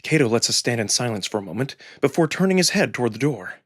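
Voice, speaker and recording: A man with a husky voice reading first-person fiction aloud as a dramatic narrator. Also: mid-range voice, big pitch swings, quick pace, no echo, studio quality. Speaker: narrator and performer of a visual novel reading. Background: background music.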